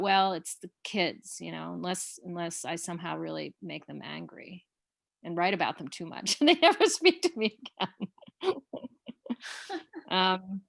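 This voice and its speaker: A middle-aged woman playwright talking in a casual, halting way, with frequent restarts and laughs. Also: lowish voice, creaky, a bit bright, no room sound, studio quality. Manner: chatty, halting, laughs often. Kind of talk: casual conversation.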